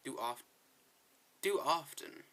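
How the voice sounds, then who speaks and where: Bright, animated male gaming commentator. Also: fairly high pitch, slow pace. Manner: rambling, self-correcting, chatty asides to viewers. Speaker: gaming video host. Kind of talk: casual gameplay commentary.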